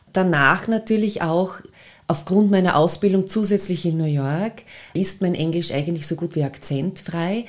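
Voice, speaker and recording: A woman's voice: mid-range voice, mellow. A middle-aged woman with an Austrian accent, answering conversationally as an interview guest. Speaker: podcast guest, actress and singer.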